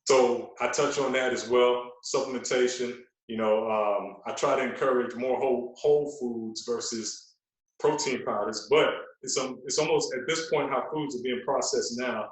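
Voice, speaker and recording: A man's voice: mid-range voice, fairly even intonation, moderate pace, distant mic. Man chatting in a very echoey room. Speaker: podcast guest, fitness trainer. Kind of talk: casual conversational interview talk. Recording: very echoey, roomy sound.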